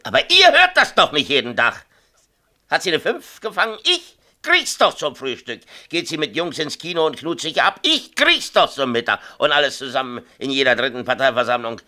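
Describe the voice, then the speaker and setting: A man's voice: fairly high pitch, very animated, normal speed, sharp tone, heavily acted. An actor playing a tipsy, middle-aged small-town mayor and father, ranting confessionally and seeking agreement in a radio drama. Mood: exasperated. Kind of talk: 1970s East German radio drama acting.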